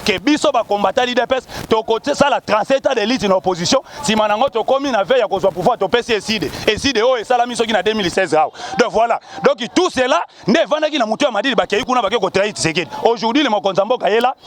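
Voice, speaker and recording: A man's voice: high voice, expressive, quick pace, hardly any pauses. A man from Kongo Central, arguing emphatically as a party supporter in a street interview. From Kongo Central, DR Congo. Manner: emphatic, repetitive, rallying. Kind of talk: impassioned political argument.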